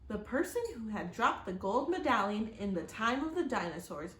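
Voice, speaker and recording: A woman reading a children's story aloud like a teacher, expressively, pausing to address her young listeners with questions. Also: mid-range voice. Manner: expressive, acts out exclamations and gasps. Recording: roomy echo, faint background noise.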